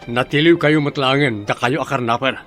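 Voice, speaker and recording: A man's voice: medium pitch, normal speed, fairly bright tone, soft background music, natural voice. A man with a Filipino accent acting in a radio drama as a determined crime victim. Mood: stern.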